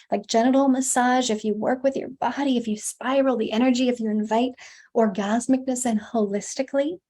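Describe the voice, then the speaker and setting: A woman's voice: fairly high pitch, dark tone. A woman in an interview, talking in a flowing, emphatic way, often restarting words and adding "like". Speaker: guest, women's embodiment teacher. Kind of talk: conversational interview.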